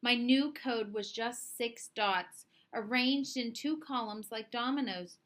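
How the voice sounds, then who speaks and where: A woman reading a children's story aloud in an expressive narrating style. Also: a little high, takes it slowly. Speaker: storybook reader.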